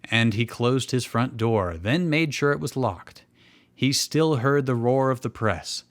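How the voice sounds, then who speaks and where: A man's voice: mid-range voice, animated, a little slow. A man reading fan fiction aloud as a show host, often breaking off to joke and comment in casual banter. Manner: joking, breaks off to comment on the text.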